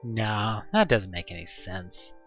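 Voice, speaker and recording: A man's voice: fairly low pitch, lively intonation, takes it slowly, fairly bright tone, highs cut off, soft background music. A man narrating an interactive horror story in the second person, with a theatrical, wry delivery. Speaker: narrator of a fan audio drama.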